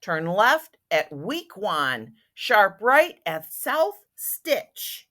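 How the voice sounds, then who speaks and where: Brash, greedy storybook businessman, played by a woman, boastful and dismissive, slow and highly animated. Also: heavily acted. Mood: eager, commanding.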